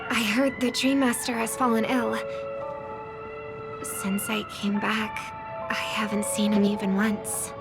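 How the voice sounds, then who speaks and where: An actress playing a gentle young singer and devoted younger sister in a game cutscene, speaking in a soft, hushed way. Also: female voice, a little high, level tone. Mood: gentle concern. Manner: soft-spoken, slow and hushed. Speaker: game character. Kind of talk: voiced game cutscene dialogue. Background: game music.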